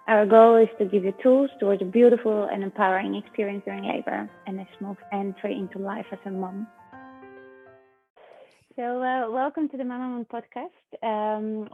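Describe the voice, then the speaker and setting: A woman's voice: on the high side, no room sound. A woman hosting a podcast, introducing a guest in a casual, friendly way with many fillers. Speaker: podcast host. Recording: dry, tinny phone-like sound.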